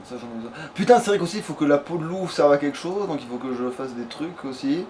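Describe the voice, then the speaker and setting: A man's voice: a little high, animated, quick pace, darkish tone. A man giving casual let's play commentary, thinking aloud in slangy, crude language. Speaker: let's player. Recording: faint background noise.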